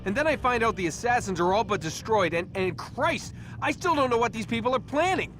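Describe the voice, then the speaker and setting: A man's voice: very high voice, animated, brisk, fairly bright tone, faint music behind, rough recording. An actor playing a frustrated young man held captive, sardonic and exasperated, in a naturalistic video game cutscene.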